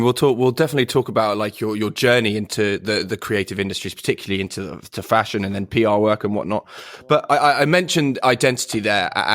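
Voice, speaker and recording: A man's voice: on the low side, no room sound, studio quality. A man hosting a podcast interview, asking long questions with many hesitations and restarts. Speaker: podcast host.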